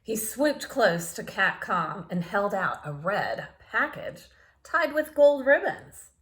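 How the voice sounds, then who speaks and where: A woman reading a picture book aloud to children in a playful, expressive way, with asides to her young listeners. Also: mid-range voice, slow pace.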